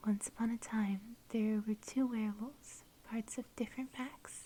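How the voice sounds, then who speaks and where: A woman voicing a shy, sweet young werewolf girl in an ASMR roleplay, speaking in soft, breathy whispers with a gentle, tender manner. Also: on the high side, a little hiss or hum, natural voice. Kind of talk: ASMR roleplay, intimate storytelling.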